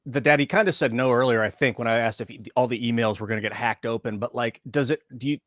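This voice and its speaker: Male podcast host, mid-pitch, halting and searching for words; very dry, close sound. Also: a bit bright, no room sound. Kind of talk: casual interview questions. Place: private closed room.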